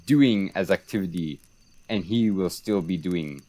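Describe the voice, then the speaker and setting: A man's voice: low voice, a little slow, warm tone, no room sound. A young man acting a sardonic French military commander in a Shakespeare radio play, with a dry, mocking delivery. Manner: dry, wry.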